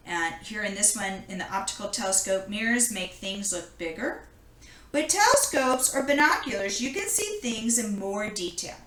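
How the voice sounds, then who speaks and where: A woman reads a children's picture book aloud in a bright, clearly forward voice that sits on the high side. She explains as she goes, pointing things out to young listeners. The room around her is noticeably echoey.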